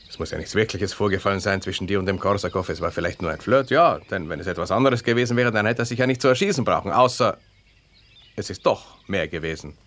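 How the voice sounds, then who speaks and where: A man's voice: sits low, fast talker, a bit bright. An Austrian man playing a jealous, sardonic husband in a conversational 1970s radio drama style, probing and ironic. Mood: probing, suspicious. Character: jealous husband, sardonic, smooth-talking, needling his wife.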